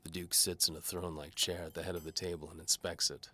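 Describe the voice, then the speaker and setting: Very deep, forceful hardboiled mercenary played by a man. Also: little pitch movement, quick pace. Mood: probing. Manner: wry, terse, hardboiled. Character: cynical, hard-bitten male mercenary bowman narrating his case. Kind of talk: scripted first-person noir fantasy narration.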